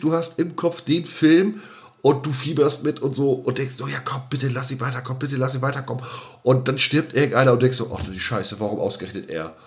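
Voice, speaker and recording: A man co-hosting a casual podcast, talking colloquially and emphatically and often repeating his phrases. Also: a little high. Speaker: podcast co-host.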